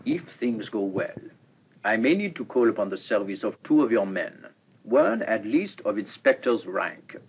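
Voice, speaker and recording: A man acting in a radio drama as a courteous, astute middle-aged police inspector, speaking in a measured, formal, ruminative way. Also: on the low side, expressive. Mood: formal, assured. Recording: muffled, lo-fi radio recording, faint noise.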